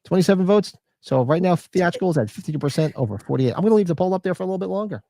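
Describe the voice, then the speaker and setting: A man co-hosting a live podcast, chatting loosely, joking and reading chat comments, a little hoarse. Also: high-pitched, expressive, racing, mellow, no room sound. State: losing his voice. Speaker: podcast co-host. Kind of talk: casual live-stream chat.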